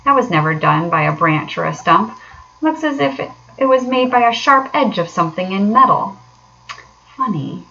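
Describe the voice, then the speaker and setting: Woman playing an eager, excitable storybook rat, bright voice. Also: mid-range voice, normal speed, highs cut off, slight background noise. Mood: kindly. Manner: earnest, eager, exclamatory. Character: kindly, excitable male rat, practical storybook animal.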